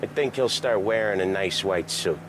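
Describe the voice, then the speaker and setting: An actor playing a cool-headed mobster who speaks calmly and tersely. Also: male voice, low-pitched, normal speed, close to an everyday voice. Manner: calm, terse. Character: steady male mobster, cool-headed crew leader. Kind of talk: video game cutscene acting. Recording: game cutscene with car noise.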